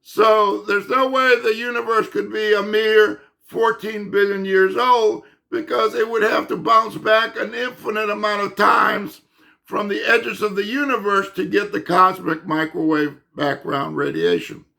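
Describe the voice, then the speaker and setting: A man explaining physics in an unscripted monologue, insistent and emphatic, halting with fillers and restarts, and losing his voice. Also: sits high, steady tone, vocal fry, bright, forceful tone. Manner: insistent, emphatic, repeats himself. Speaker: channel host explaining physics.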